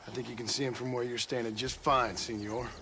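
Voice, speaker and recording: An actor playing a gruff American cowboy gunslinger in a game cutscene, dry, measured and sardonic with polite menace. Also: male voice, medium pitch, little pitch movement, sharp tone, a little hiss or hum. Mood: guarded, dry. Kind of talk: video game cutscene acting.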